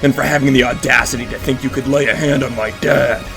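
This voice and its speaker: An actor playing a furious, wounded man in a deadly showdown, speaking forcefully and emotionally in an audio drama. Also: medium pitch, fairly even intonation, fast talker, gravelly, lightly acted. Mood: bitter wrath. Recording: music behind the voice. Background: dramatic music.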